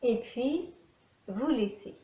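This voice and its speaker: Woman, yoga nidra teacher, extremely slow pace, slightly high pitch, guided meditation, measured phrases. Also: animated, dark tone. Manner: measured, instructional phrasing with pauses. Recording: echoey room.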